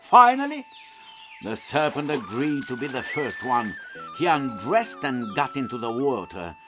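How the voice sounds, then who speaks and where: A man's voice: mid-range voice, big pitch swings, measured pace, music in the background. A man reading a scripted children's story as its narrator.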